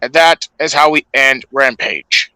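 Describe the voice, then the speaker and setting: A man co-hosting a podcast, giving a show recap in a halting way, often repeating and restarting words. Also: medium pitch, pushed and bright, smooth, no room sound.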